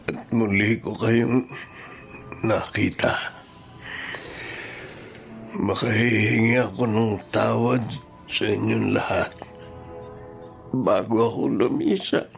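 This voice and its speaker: Slow, gravelly, remorseful father. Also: fairly low pitch, fairly even intonation, faint music behind. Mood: pleading, weary. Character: remorseful, ailing father pleading for love and forgiveness. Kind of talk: Filipino radio drama acting.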